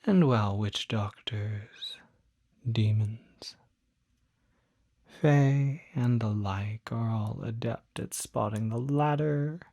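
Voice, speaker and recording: Slow, soft demon doctor, acted by a man. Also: medium pitch, vocal fry, no echo. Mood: measured, explanatory. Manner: gentle, measured, politely formal bedside manner, often dropping to a whisper. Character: courteous, soft-spoken male demon doctor, fussy and reassuring. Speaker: doctor at a supernatural clinic. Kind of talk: ASMR roleplay.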